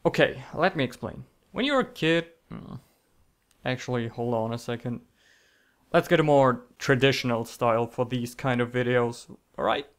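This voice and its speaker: A man's voice: medium pitch, normal speed. A man telling comedic scripted stories in a theatrical, sarcastic, mock-dramatic manner. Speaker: storytelling video creator.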